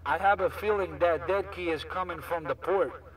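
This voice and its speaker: A man's voice: high voice, moderate pace. A man chatting casually and repetitively in slang over a CB radio transmission. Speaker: CB radio operator. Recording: distant-sounding CB radio reception.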